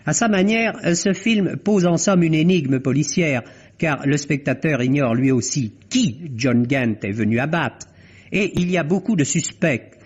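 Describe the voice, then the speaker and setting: A man reviewing a film for radio as a critic, speaking in measured, articulate, literary phrases. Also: fairly high pitch, lively intonation, husky. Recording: dull vintage radio recording.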